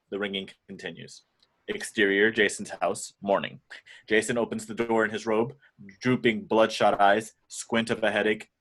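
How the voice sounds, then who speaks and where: Low-voiced man reading stage directions. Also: a bit bright. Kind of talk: scripted narration at amateur table read.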